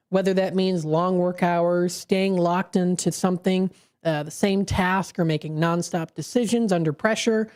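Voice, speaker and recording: A woman's voice: lowish voice, mellow. A woman radio host explaining brain science in a studio, talking at length with frequent fillers and self-corrections.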